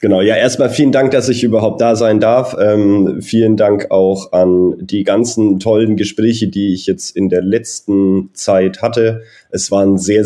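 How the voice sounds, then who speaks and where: Low-voiced man in his thirties, relaxed. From Germany (Nuremberg). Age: 30s. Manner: friendly, rambling with many fillers. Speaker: golf coach. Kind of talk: interview answers, personal background.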